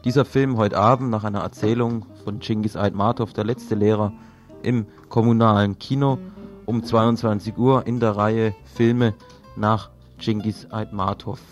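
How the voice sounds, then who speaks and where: A man with a lowish voice presents event listings on community radio. He moves between live talk and reading aloud, over faint plucked guitar music. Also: steady tone, unhurried. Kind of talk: radio event listings, partly read from a programme. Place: radio studio. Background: plucked guitar music under the voice.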